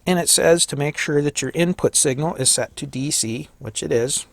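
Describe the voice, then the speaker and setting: A man with a slightly hoarse voice giving a casual hands-on electronics tutorial, thinking aloud and correcting himself as he works. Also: a little high, moderate pace, no echo. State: slightly hoarse, losing his voice. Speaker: electronics hobbyist demonstrating a kit.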